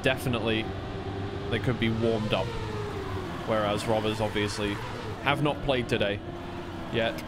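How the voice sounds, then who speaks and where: A man's voice: lowish voice, steady tone, unhurried, long pauses, very noisy. A man giving casual, unscripted live play-by-play and analysis as an esports commentator on a stream.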